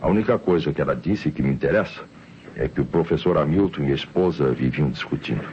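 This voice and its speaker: An actor playing an excitable Brazilian police officer in an old radio mystery, speaking emphatically and theatrically. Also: male voice, extremely low pitch, fast. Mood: urgent, intrigued. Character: excitable male police officer reporting to his inspector. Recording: muffled, noisy old radio recording.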